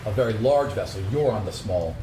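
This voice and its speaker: A man's voice: on the low side, animated, brisk. A man casually explaining the scene to players as game master of a tabletop game. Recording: echoey room with clear background noise.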